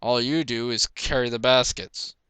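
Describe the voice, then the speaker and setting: Big-brother farm pony for storybook reading, male reader: blunt, boastful, folksy, smooth clear voice. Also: medium pitch, fairly even intonation, normal speed, fairly bright tone, no room sound, close to an everyday voice. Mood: bickering. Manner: folksy, bickering.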